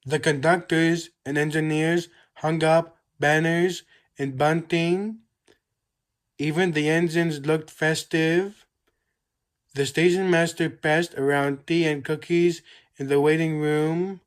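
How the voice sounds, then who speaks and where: A storybook narrator reads aloud for children. The delivery is scripted and slow, with a medium-pitched voice that gives each phrase room. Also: steady tone.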